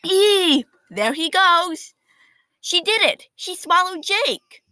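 A woman voicing excitable, disbelieving schoolchildren in a picture book, in exclamations and incredulous questions. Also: very high voice, takes it slowly, no room sound, clean studio sound, pitched above the performer's own voice, heavily acted. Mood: shocked. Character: excitable, astonished schoolchildren watching their teacher in disbelief. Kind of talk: children's picture book read aloud.

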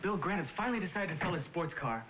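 A young actor with an American accent playing an eager, impatient teenage son in a 1950s television drama. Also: male voice, a little high, very animated, quick pace. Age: young adult. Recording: muffled, noisy old TV soundtrack.

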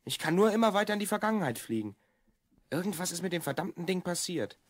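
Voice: medium pitch, sing-song, fast talker, no echo, clean studio sound. A performer playing a secretive boy of twelve from the future in an audio drama, explaining things confidingly. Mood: troubled. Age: child character, twelve. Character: Rhonn, secretive stranded boy time traveller from the future. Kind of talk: German audio drama acting.

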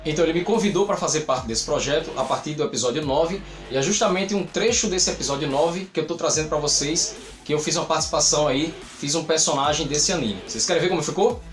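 A man in his thirties with a Brazilian accent chatting informally and animatedly to camera as a channel host. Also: on the high side. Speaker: video creator, channel host. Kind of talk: casual chat to camera. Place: small indoor room. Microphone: camera at short distance. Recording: echoey room, faint music.

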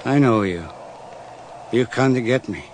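An actor playing a dazed, grim man walking after death, speaking in halting fragments in a radio horror drama. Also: mid-range voice, lively intonation, lightly acted. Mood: haunted, resigned. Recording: noisy 1980s radio recording.

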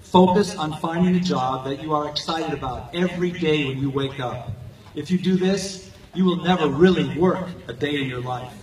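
An older man giving a prepared graduation speech as a coach and teacher, speaking earnestly in measured, declarative phrases. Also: a little high, a little mellow. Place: outdoor football stadium, recorded from the stands. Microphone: podium microphone over PA, captured by distant handheld camera. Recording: echoey stadium PA heard from far off.

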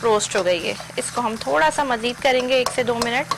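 A woman's voice: on the high side, normal speed, vocal fry, background noise. Woman hosting a TV cooking show. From Pakistan. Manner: instructive, addresses viewers directly. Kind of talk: TV cooking demonstration. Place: TV studio kitchen set.

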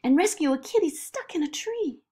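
Woman playing excitable little girl, high and bouncy. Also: moderate pace. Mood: eager. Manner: eager, boastful, emphatic. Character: excitable, defiant little girl full of big dreams. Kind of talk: animated picture-book read-aloud.

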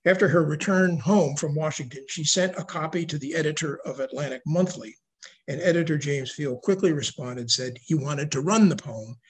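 Husky older man giving a history lecture. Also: a little high, normal speed. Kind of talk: prepared slide lecture. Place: indoor room at home, video call. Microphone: video call microphone.

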